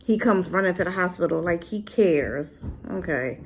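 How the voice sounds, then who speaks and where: A divorced adult woman giving a sassy, animated casual review straight to her viewers. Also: on the low side, normal speed, a little hiss or hum. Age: adult, been married and divorced. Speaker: drama reviewer.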